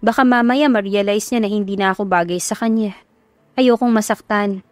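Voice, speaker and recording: Young romantic heroine acted by a woman: earnest, self-doubting, confiding, medium-pitched. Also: normal speed, dry recording, soft background music, natural voice. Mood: worried, tender. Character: lovestruck, doubtful young woman from humble background.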